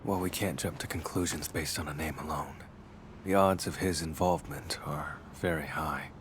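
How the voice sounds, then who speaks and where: A brooding vampire investigator from a video game. His voice sits very low, and he speaks in clipped, deliberate phrases with grave restraint. Faint ambient noise runs behind him. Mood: aloof, businesslike. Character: brooding male vampire hunter, stoic loner investigator. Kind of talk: scripted game dialogue.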